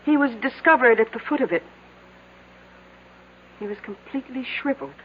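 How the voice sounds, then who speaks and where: An actress playing a dry, knowing small-town widow in an old-time radio drama, sardonic, deliberate and quietly ominous. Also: female voice, high-pitched, animated, dull audio. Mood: grave, ominous. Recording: noisy, band-limited vintage radio drama recording.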